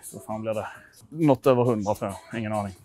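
A man in his twenties from Sweden chatting casually to viewers in a gym vlog, informal, sweary and self-deprecating. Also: lowish voice. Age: 20s. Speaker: vlogger testing his lifts at a gym. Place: indoor gym. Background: music.

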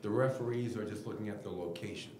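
Middle-aged coach at a press podium. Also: male voice, fairly low pitch, quick pace, roomy sound, background noise. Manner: candid, animated, gestures while explaining. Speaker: basketball head coach. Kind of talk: post-game press conference answers. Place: press room at team podium. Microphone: table mic in front of him.

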